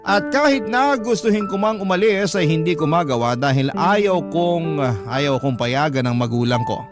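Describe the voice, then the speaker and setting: Casting: male radio host for dramatic letter readings, slightly high voice, prominent music bed. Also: expressive, moderate pace. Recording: loud music bed behind the voice. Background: loud background music.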